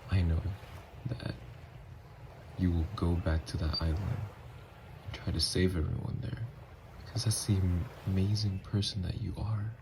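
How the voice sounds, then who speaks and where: A man playing a tormented, lovestruck young vampire in an intimate ASMR roleplay, speaking in a slow, halting, hushed murmur. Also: deep voice, flat delivery, gravelly, noisy background. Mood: sorrowful, loving. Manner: slow, halting, hushed confession with long pauses. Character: tormented, lovestruck newly turned male vampire, confessing tenderly. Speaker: ASMR voice actor.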